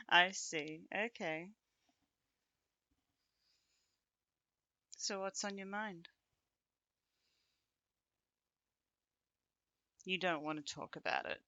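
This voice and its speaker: Casting: actress playing a fond, teasing woman companion for intimate ASMR roleplay; bright tone, slow conversational delivery with pauses. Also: medium pitch, expressive, no room sound, muffled recording. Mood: caring, coaxing. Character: affectionate, teasing woman, close companion of the listener.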